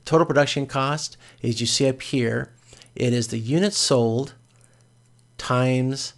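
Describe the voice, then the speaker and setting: Gravelly-voiced man explaining as an instructor. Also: mid-range voice, slow pace. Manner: hesitant, explanatory, often repeats and rephrases. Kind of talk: informal explanatory lecture, screen walkthrough.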